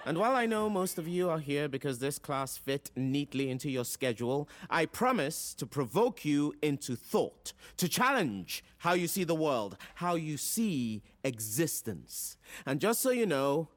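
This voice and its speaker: A man's voice: a little high, very animated, unhurried, hardly any pauses. An actor playing a playful, provocative male philosophy professor in an audio drama, lecturing theatrically with rhetorical flourishes. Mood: showy welcome. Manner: theatrical, rhetorical, addresses a class like a host.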